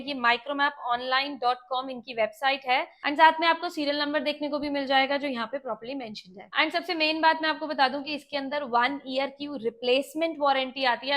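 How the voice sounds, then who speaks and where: A woman's voice: high-pitched, a bit bright. A woman with an Indian accent giving a casual hands-on gadget review, explaining things to viewers and mixing in English phrases. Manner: mixes Hindi with English words and phrases. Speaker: product reviewer.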